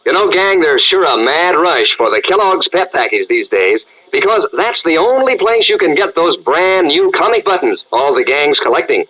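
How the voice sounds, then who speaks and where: A man's voice: on the high side, big pitch swings, moderate pace, hardly any pauses, sharp tone. A man giving a folksy 1940s radio advertisement aimed at children. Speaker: advertising pitchman. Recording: muffled vintage radio recording.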